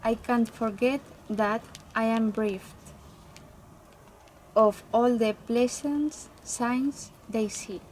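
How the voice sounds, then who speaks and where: A woman's voice: on the high side, steady tone, slow pace, darkish tone, a little hiss or hum, rough recording. A woman reading verse aloud somewhat hesitantly, repeating the odd word. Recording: faint background ambience.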